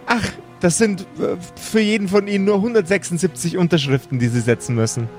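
A man's voice: high-pitched, a little fast, faint music behind, close to an everyday voice. A man playing a polite, officious zombie court bureaucrat in an improvised audio role-playing game, formal and apologetic.

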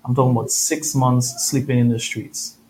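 Brief: Trinidadian man, reflective interview guest, mid-pitched voice, faint noise behind. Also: little pitch movement, moderate pace, a little mellow, rough recording. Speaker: podcast guest. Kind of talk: conversational interview storytelling.

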